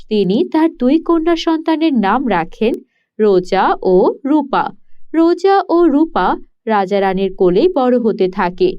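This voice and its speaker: A woman narrates a children's storybook cartoon in a smooth, even, scripted way. Her voice is high, and the recording sounds dull and lo-fi.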